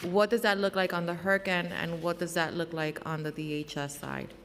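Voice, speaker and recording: A woman's voice: lowish voice, little pitch movement. Scratchy-voiced woman chairing a hearing. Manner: casual, halting, with restarts and fillers. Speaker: council committee chair. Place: large council chamber. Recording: hall reverb, faint room noise.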